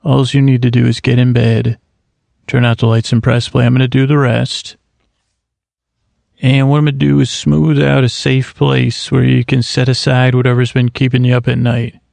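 Sleep podcast host, man, 30s: very gravelly, monotone meanderer, dry close mic. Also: mid-range voice, no room sound. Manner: slow, meandering, halting with many restarts and fillers. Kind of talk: rambling bedtime monologue.

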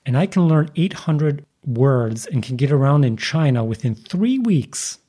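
A man's voice: fairly high pitch, sing-song, measured pace, mellow, husky. A man hosting a podcast, making an earnest argument before asking his guest a question. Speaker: podcast host. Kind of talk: podcast interview.

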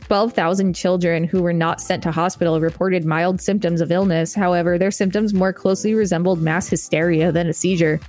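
A woman's voice: fairly low pitch, steady tone, darkish tone, faint music behind. Woman co-host reading aloud, wry reactions. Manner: wry, quick reactions between read passages. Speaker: podcast co-host. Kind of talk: reading an article aloud and chatting.

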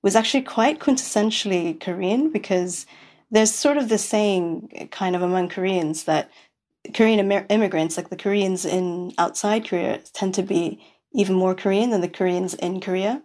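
A woman's voice: medium pitch, moderate pace, a little mellow. A woman from New York City, a podcast guest, talking in a rambling casual way with many fillers and restarts. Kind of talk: casual interview chat.

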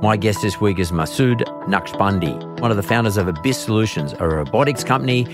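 A deep-voiced, middle-aged man hosts an interview podcast. He speaks in a measured, deliberate way and restarts his sentences often. Faint music sits under his voice. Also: animated. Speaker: podcast host. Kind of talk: interview chat with scripted intro.

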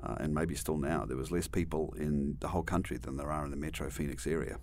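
Deep voiced kiwi guy, middle aged, rambles w restarts and dry jokes. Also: steady tone, fast, darkish tone. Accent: New Zealand. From New Zealand. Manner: rambling, frequent restarts and asides, dry humor. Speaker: guest. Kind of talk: conversational interview storytelling.

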